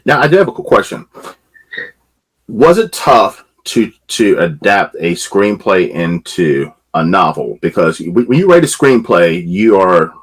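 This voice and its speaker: Brief: male podcast host, very low husky voice, curious interviewer who restarts phrases. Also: big pitch swings, a little slow. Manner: asks questions while rephrasing himself. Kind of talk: interview question.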